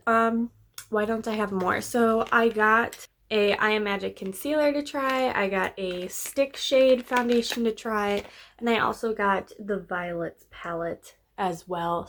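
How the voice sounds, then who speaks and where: A young woman talks through a makeup tutorial in a casual, upbeat way, often trailing off into fillers. Her voice sounds a little hoarse and congested, as if she's coming down with a cold. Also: mid-range voice, fairly bright tone. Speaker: beauty vlogger.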